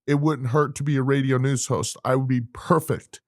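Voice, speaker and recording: A man co-hosting a podcast in casual chat, earnest and halting, often repeating words and starting over. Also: mid-range voice, fairly even intonation, dry recording, clean studio sound. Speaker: podcast co-host.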